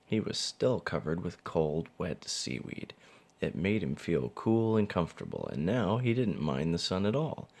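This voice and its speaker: Deep, creaky man reading a storybook. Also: sing-song, a little slow, darkish tone. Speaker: father reading a picture book. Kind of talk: children's storybook narration read aloud.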